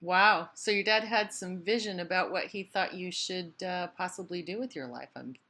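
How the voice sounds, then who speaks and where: A woman hosting a conversational interview, speaking politely and encouragingly, with pauses as she leads into her questions. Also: fairly low pitch, sharp tone. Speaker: interview host.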